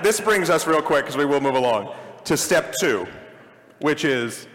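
A man steering a live convention panel, with a fairly high voice. He often hesitates and searches for words. The sound has the long echo of a large hall. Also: animated, normal speed, a bit bright.

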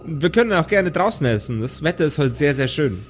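A man's voice: a little high, sing-song, brisk, soft background music. A man voicing a kind, slightly melancholic clone woman who speaks politely and a little hesitantly in an improvised audio play. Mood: gentle, resigned.

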